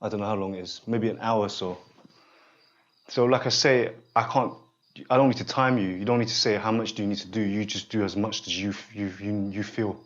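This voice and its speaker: A man in his forties guiding a student through a one-on-one therapy session, speaking haltingly and often stopping to check that the listener follows. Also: on the low side, steady tone, fairly bright tone, muffled recording. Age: 40s. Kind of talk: unscripted one-on-one guidance session.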